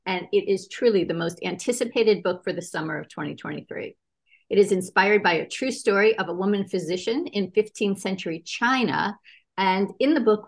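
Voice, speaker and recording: Effusive woman host, mid-pitched. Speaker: show host and producer. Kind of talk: hosting an author interview, scripted introduction.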